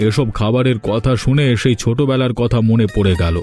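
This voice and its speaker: A man voicing a friendly, nostalgic, ageing village gentleman in a cartoon fairy tale. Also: medium pitch, fairly quick, faint music behind, close to an everyday voice.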